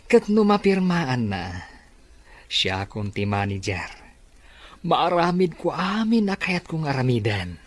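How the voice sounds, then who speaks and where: An actor playing a scheming, power-hungry villain in an Ilocano radio drama, with an Ilocano accent. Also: male voice, medium pitch, sing-song, takes it slowly, long pauses, dry recording.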